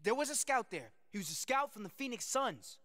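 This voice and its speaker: An actor playing a nervous, eager young basketball hopeful, earnest and rambling, in acted video game dialogue. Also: male voice, extremely high pitch, big pitch swings, fairly quick, sharp tone, no echo, studio quality, exaggerated voice. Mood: breathless excitement. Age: young adult.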